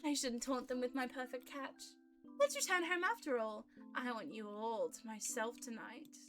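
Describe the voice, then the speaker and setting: A woman's voice: a little high, lively intonation, normal speed, fairly bright tone, soft background music. A woman voicing a suave, possessive man from a visual novel, with a haughty, theatrical, formal delivery. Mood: smooth, seductive.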